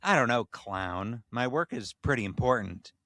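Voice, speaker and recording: A man's voice: medium pitch, animated, moderate pace, bright, forceful tone, no echo, clean studio sound. A man voicing a snarky, easily flustered, lovestruck male mascot in a comedy story. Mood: guarded snark. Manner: snarky, wistful.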